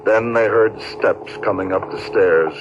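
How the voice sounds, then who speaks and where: An actor with an American accent playing a grave, suspenseful narrator of an eerie 1950s radio drama. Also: male voice, on the low side, a little slow, close to an everyday voice. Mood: fearful suspense. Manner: dramatic, ominous. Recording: noisy, dull 1950s radio recording.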